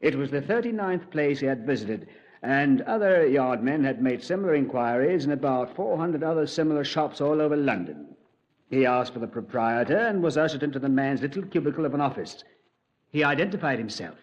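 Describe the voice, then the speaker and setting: A man playing a measured, authoritative police commander. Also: medium pitch, animated, lightly acted. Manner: measured and authoritative, clipped questions. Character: calm, authoritative male senior police commander. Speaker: narrator and deputy commander. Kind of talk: 1950s radio drama acting. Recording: dull old radio recording.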